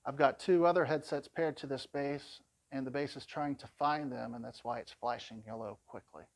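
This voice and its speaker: A man's voice: mid-range voice, fairly even intonation, normal speed, no room sound. Man giving a dry, close-sounding product walkthrough. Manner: plain-spoken, conversational explaining. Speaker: product demonstrator.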